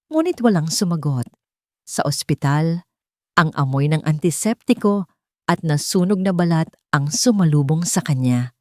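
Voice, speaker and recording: Low-voiced woman narrating a dramatic story. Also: a little mellow, dry recording, studio quality.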